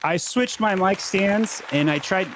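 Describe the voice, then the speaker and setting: A man in his thirties hosting a casual live Q&A from a home studio, upbeat and quick, often repeating words as he talks. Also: high-pitched, fairly bright tone, some chatter behind, a little hiss or hum, rough recording. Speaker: host. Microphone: studio mic on boom arm.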